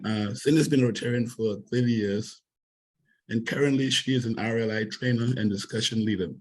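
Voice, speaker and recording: A hoarse man who is losing his voice, giving a formal introduction of a guest speaker on a video call. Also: medium pitch, fairly quick, a little mellow, rough recording.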